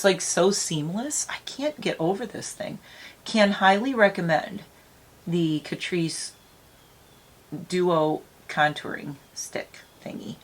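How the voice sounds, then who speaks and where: A middle-aged woman with a slightly raspy morning voice, chatting and rambling to her viewers while doing her makeup. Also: on the low side. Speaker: beauty vlogger. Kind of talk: casual makeup tutorial chat.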